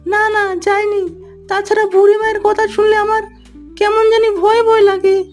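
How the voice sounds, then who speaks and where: Tiny squeaky timid rabbit. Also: female voice, flat delivery, normal speed, soft background music. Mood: fearful. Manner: timid, hesitant. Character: timid little rabbit, easily scared and cautious. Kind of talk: cartoon story voice acting.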